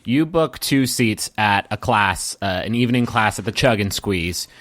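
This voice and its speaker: A man improvising narration as game master of a tabletop roleplaying game, halting and rewording as he goes. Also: fairly low pitch, lively intonation, fairly bright tone, dry recording.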